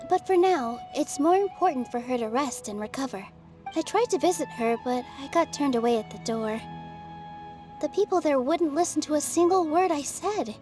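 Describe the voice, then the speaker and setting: A modest young dancer in a game, high light voice, soft and polite, game music behind. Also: female voice. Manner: soft-spoken, polite and self-effacing. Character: gentle young female dancer, kind and modest. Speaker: game character.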